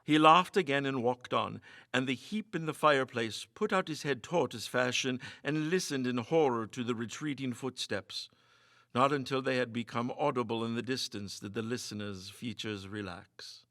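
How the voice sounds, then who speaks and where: Forceful male ghost story narrator. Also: mid-range voice, normal speed, no echo, clean studio sound. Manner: theatrical, suspenseful. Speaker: story reader.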